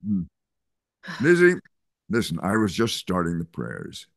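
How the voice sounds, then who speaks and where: An older man reading a kindly small-town male pastor in an online play reading, soothing and measured, over a video call. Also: sits low, wildly swooping pitch, vocal fry, no echo, clean studio sound, exaggerated voice. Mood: calm. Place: home room on a video call. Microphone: video call computer mic.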